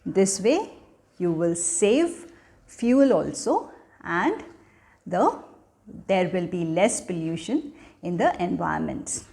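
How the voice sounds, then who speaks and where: A woman giving an educational talk for children, speaking in a deliberate, teacherly manner as a storyteller and host. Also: on the low side, expressive, gravelly, mellow. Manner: teacherly, deliberate, asking questions then answering them.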